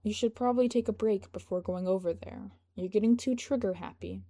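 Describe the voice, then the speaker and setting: A woman voicing a matter-of-fact male aide who gently chides his boss in an audio story. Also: medium pitch, fairly quick, lightly acted. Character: patient, practical male aide to a young boss.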